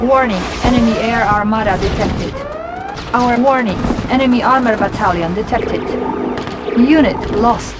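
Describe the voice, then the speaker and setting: A woman's voice: on the high side, normal speed, over loud music, close to an everyday voice. A woman playing a formal, businesslike Soviet military officer in a strategy game, speaking in clipped scripted announcements.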